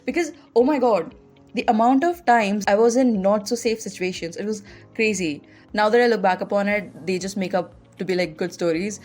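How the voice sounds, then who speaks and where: A young woman speaking with an Indian English accent tells stories in a loose, chatty way, with "like" scattered through her sentences. She talks as a podcast host, with soft music faintly underneath. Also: mid-range voice, moderate pace. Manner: chatty, heavy use of "like" fillers. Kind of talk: casual personal storytelling.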